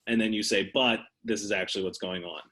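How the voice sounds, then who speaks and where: A man's voice: lowish voice, steady tone, fast talker, pushed and bright. A man taking part in a coaches' call, making his points in casual, explanatory discussion. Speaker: participant in a coaches' conference call.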